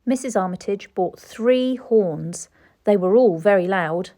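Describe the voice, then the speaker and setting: A woman reading a children's story aloud in an expressive storytelling style. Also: mid-range voice, measured pace. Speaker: storybook reader.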